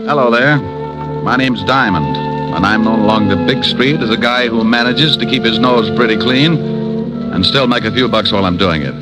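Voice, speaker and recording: A man's voice: mid-range voice, normal speed, over loud music, close to an everyday voice, rough recording. An actor playing a world-weary, wisecracking male private detective who narrates in an old radio drama. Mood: wry. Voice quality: dry wisecracking delivery. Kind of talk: 1950s radio drama acting. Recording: old time radio recording.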